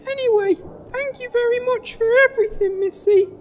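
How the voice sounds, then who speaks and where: A man's voice: extremely high pitch, fairly quick. A man voicing a polite, naive, childlike young male creature in a comic fantasy audio drama. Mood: grateful. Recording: loud outdoor ambience and noise behind.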